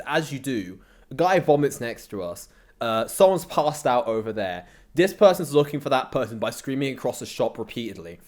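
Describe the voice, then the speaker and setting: A young British man chatting casually as an interview guest, telling anecdotes in a slangy, rambling way. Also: medium pitch. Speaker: interview guest, content creator.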